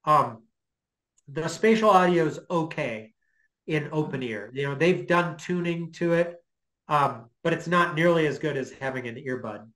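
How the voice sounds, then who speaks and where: Male, middle-aged. Slightly higher voice, informal lecturer, hesitant and halting delivery. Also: a bit bright, rough recording. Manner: hesitant, halting, thinking aloud. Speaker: guest lecturer. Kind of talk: informal explanatory lecture with questions. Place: small home office, indoors.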